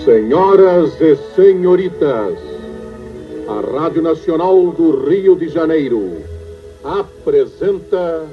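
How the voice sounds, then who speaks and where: A man's voice: on the high side, lively intonation, takes it slowly. A Brazilian man announcing a radio drama in a formal, declamatory 1940s style, on an old radio recording. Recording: dull vintage radio recording with music. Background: prominent music.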